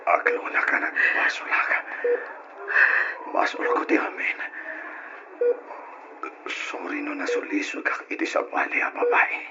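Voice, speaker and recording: An actor with an Ilocano accent playing an unfaithful, remorseful husband in an old radio drama. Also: male voice, a little slow, pauses often, muffled recording, noisy background, natural voice. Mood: remorseful, pleading. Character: unfaithful husband Freddy, remorseful after motorcycle crash.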